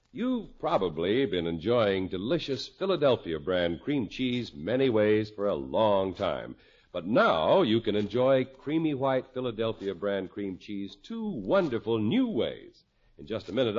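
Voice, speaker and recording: A man's voice: fairly low pitch, darkish tone, husky. A man announcing in scripted 1950s radio style, with polished, emphatic salesmanship. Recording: dull 1950s radio recording.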